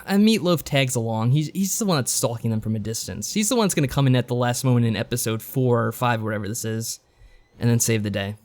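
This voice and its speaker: A man's voice: medium pitch, quick pace, darkish tone. A man co-hosting a podcast in casual chat, giving a rambling, joking recap. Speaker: podcast co-host. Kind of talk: casual chat reviewing visual novels.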